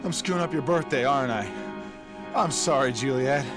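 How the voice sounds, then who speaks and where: A man's voice: mid-range voice, normal speed, close to an everyday voice. A man playing an awkward, foul-mouthed, stammering young boyfriend in a video game cutscene. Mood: hesitant, sorrowful. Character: awkward, panicky young boyfriend, wounded then beheaded. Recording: loud game music behind.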